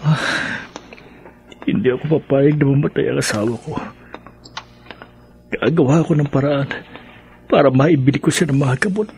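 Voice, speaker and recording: Mid-pitched male actor as desperate husband, earnest, resolute, dramatic radio monologue. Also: moderate pace, vocal fry, long pauses, darkish tone, close to an everyday voice. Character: desperate, devoted husband resolved to save wife.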